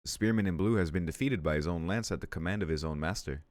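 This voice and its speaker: Low-voiced man reading a story. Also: fast, no echo. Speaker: let's-play host reading aloud. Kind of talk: narrating a visual novel aloud.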